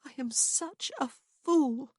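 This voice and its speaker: A woman's voice: on the high side, animated, moderate pace, husky, no echo, clean studio sound, pitched above the performer's own voice. A woman voicing an anxious young lady in love who speaks tenderly and breathily. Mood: distraught.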